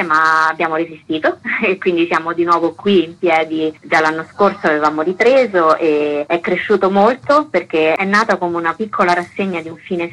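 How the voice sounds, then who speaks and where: A woman's voice: fairly low pitch, fairly bright tone, rough recording. A woman speaking spontaneously as a guest in a radio interview, as a festival's artistic director.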